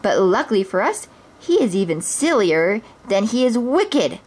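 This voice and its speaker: A bold, cheerful young outlaw hero from a storybook springs to life, as a woman reading aloud puts him on. The lines come as brisk, eager calls, lifted above a voice of ordinary middle pitch. He sounds daring and good-humoured. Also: sing-song, darkish tone.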